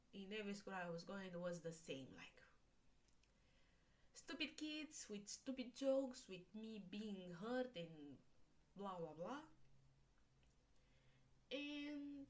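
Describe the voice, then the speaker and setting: Young woman, unhurried, halting, filler-heavy, personal vlog to camera. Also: mid-range voice. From Romania. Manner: slow, hesitant, with frequent fillers and restarts. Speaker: vlogger.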